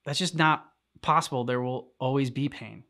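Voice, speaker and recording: Halting man chatting as a reaction co-host. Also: medium pitch, bright, forceful tone. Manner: halting, thinking aloud in short fragments. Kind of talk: casual discussion of a video game story.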